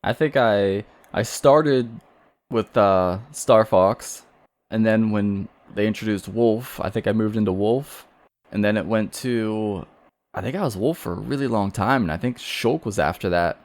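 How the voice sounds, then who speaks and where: A man's voice: on the low side, unhurried, a little mellow. A man in his thirties chatting casually on a podcast with short, teasing remarks. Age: 30s. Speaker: podcast co-host. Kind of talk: casual chat.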